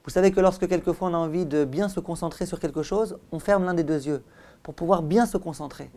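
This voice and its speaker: A male rabbi delivers a religious class with a storyteller's flair. His pitch sits in a medium range, and he breaks his teaching into deliberate, stressed phrases. He leans on repetition and rhetorical questions to drive each point home. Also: a little mellow. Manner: rhetorical questions, emphatic repetition, acts out dialogue. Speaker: rabbi giving a Torah class.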